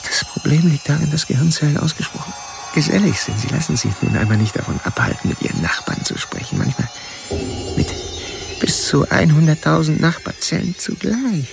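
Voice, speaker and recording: A man's voice: a little high, very animated, brisk, creaky, full character voice. An actor playing a brooding serial killer who narrates his thoughts in a bookish, sardonic way for a radio drama. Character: brooding male serial killer, intellectual, coldly self-absorbed. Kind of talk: first-person radio drama narration, inner monologue.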